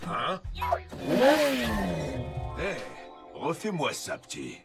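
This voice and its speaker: Cartoon stunt-bike celebrity, friendly show-off, unhurried drawl with huge pitch swings. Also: male voice, huge pitch swings, exaggerated voice. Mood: excited. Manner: boastful, theatrical. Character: famous adult stunt motorbike, cocky, friendly showman. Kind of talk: animated children's cartoon acting. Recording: music behind the voice.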